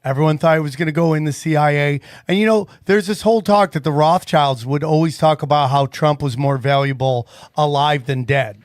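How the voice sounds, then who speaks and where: A man hosts a podcast in casual conversation and pushes his guest with fired-up questions. His voice sits a little high. The recording is dry and close. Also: fairly quick, hardly any pauses, no room sound. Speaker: podcast host.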